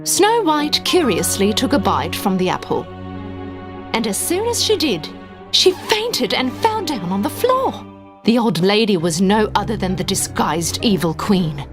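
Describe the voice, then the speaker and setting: Highly animated woman storyteller. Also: measured pace, over music. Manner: expressive storytelling. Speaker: narrator. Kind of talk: scripted children's fairy tale narration.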